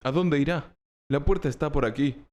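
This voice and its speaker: A man's voice: a little high, moderate pace, a little mellow, lightly acted. A man playing a naive, earnest knight in an audiobook, questioning and puzzled. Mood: anxious, pleading. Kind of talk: audiobook character acting.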